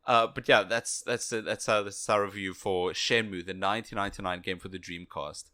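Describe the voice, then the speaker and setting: Bright, forceful man chatting casually. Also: low-pitched, steady tone, brisk. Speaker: podcast co-host. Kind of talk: casual game review chat.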